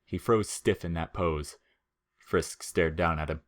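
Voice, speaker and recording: Deep-voiced man narrating fiction. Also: a little slow.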